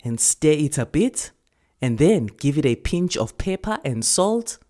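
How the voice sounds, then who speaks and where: A woman's voice: low voice, very animated, a little slow, warm tone. A woman voicing a weary, loving old village grandmother, coaxing and teasing as she savours her words. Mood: playful, coaxing. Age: older. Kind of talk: storybook character voice.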